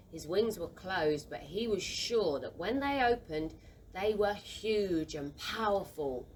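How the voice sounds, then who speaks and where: A woman narrating a scripted children's story in an expressive, deliberate manner. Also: medium pitch, poor audio. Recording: faint background noise.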